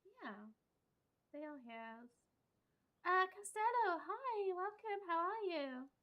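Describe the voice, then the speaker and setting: A woman's voice: very high voice, big pitch swings, slow, muffled recording. A woman game streamer chatting casually and reacting to the game as she plays. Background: game music.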